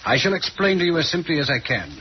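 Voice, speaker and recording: An actor playing a self-assured, courteous gentleman detective in an old radio mystery, with pompous, precise formality. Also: on the high side, sing-song, fast talker. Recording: muffled, hissy old radio recording.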